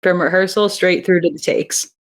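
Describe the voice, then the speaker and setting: A woman's voice: on the low side, steady tone, moderate pace. A young adult woman, a guest on an interview over a video call, talking enthusiastically about her audio drama and thinking aloud with frequent restarts. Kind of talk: casual interview chat.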